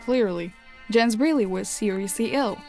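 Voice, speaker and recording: A woman voicing a wry captive child in a fairy-tale game, deadpan and resigned. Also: medium pitch, moderate pace, vocal fry, faint music behind, lightly acted. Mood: matter-of-fact gloom.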